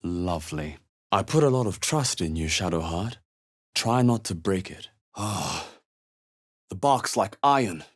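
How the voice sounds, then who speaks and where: A man voicing a wary, observant fantasy adventurer hero in a video game, with a husky voice and a wry, measured delivery. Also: low voice, lively intonation, full character voice. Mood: dry, grim. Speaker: video game player character. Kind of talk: video game voice lines, short reactive remarks.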